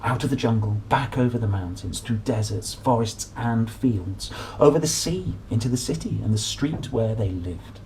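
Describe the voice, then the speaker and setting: A man in his thirties reading a children's picture book aloud, playful and dramatic with drawn-out emphasis. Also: medium pitch, darkish tone, a little hiss or hum, poor audio. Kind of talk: animated children's story reading. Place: small room at home, at a desk.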